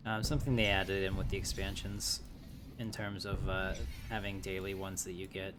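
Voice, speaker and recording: Low-voiced man doing casual game commentary. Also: flat delivery, moderate pace, noisy background. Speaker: gaming streamer. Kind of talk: casual live gameplay commentary. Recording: loud game music behind. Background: game music and sound effects.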